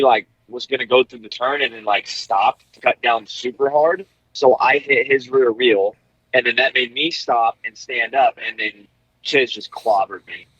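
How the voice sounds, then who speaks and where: A man's voice: mid-range voice, no echo. Laid-back young male racer, rambling guest, muffled remote call audio, dry sound. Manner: relaxed storytelling with many fillers. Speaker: guest, pro motocross racer. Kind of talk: casual interview over a call.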